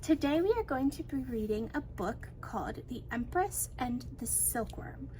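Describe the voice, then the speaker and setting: A woman's voice: high voice, expressive, moderate pace, a little mellow, a little hiss or hum, poor audio. A woman speaking as a teacher, introducing and reading aloud a book for children.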